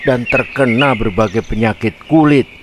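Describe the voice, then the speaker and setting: A man's voice: mid-range voice, moderate pace, noisy background, pitched above the performer's own voice, close to an everyday voice. A man voicing an angry otter leader who scolds and accuses in a children's fable. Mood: angry, accusing. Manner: scolding, indignant.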